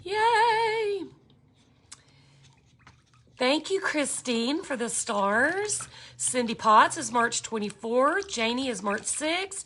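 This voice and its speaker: Cheerful woman in her fifties; slow, bright-voiced livestream art teacher who chats with viewers as she works. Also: high voice, slightly rough. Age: 50s. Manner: chatty, cheerful, reads out viewer comments. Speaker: glass art instructor. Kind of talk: livestream craft tutorial chatting with viewers.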